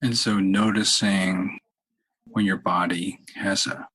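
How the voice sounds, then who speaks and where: A man leading a guided meditation in a calm, unhurried, halting way with long pauses and a husky voice. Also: low-pitched, poor audio. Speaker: meditation leader, podcast host. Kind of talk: guided meditation, softly spoken instruction.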